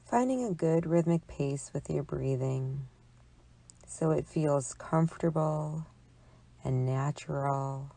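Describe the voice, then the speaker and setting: A woman psychologist leading a guided relaxation meditation in a calm, soothing, measured delivery with long pauses. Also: low-pitched, gravelly.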